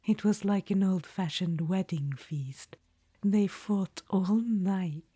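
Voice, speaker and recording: A woman's voice: medium pitch, takes it slowly, mellow, no echo. A woman voicing an ancient, vain undead witch-queen in an audio roleplay, whispering and calling the listener darling. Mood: languid, conspiratorial. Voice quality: breathy whisper.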